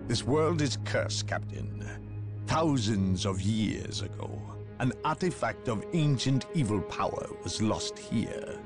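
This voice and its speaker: An actor playing a cold, secretive male inquisitor who speaks in a measured, coldly lecturing way, in a video game cutscene. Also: medium pitch, expressive, over music. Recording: voice over orchestral game score.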